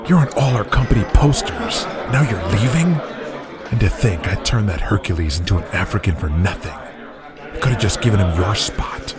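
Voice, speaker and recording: An actor playing a ruthless, bullying wrestling promoter in an audio drama, sarcastic and profane. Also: male voice, on the low side, animated, moderate pace, over a noisy crowd, close to an everyday voice. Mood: scornful, angry. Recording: loud music underneath.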